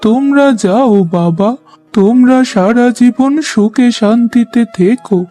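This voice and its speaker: Gentle old fisher chief for a cartoon, played by a man; soft-toned, tender and unhurried. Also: very high voice, soft background music. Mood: tender, reassuring. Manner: slow, affectionate, blessing. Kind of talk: cartoon voice acting.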